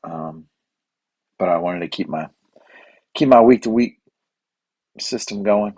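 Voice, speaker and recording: Slightly hoarse, rambling man. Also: fairly low pitch, animated, slow pace, darkish tone, no room sound. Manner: rambling, with many fillers and restarts. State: a little hoarse and raspy. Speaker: podcast host. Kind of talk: casual solo podcast monologue.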